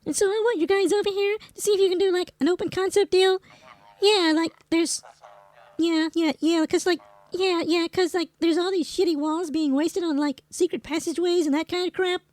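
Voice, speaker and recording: A brusque, impatient comedy-drama nag acted by a woman. Her voice is strikingly high and recorded very dry, with slangy, scornful, bossy phrasing. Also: steady tone, normal speed, darkish tone, no echo. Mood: bossy, irritated. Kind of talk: comedic audio drama acting.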